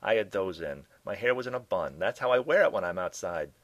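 A man voicing a sardonic, chain-smoking woman scientist in her forties, drawling and scornful with a raspy edge. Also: low voice, animated, brisk, fairly bright tone, dry recording.

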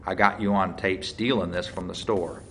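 Man acting as smug small-town deputy, folksy, forceful interrogator, audiobook character, faint background noise. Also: sits low, steady tone, moderate pace. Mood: accusing, smug.

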